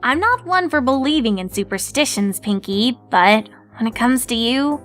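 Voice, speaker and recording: A woman voicing a bookish, earnest unicorn mare in a fan story reading, measured and slightly prim. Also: on the high side, lively intonation, a bit bright, faint music behind, voice pushed up.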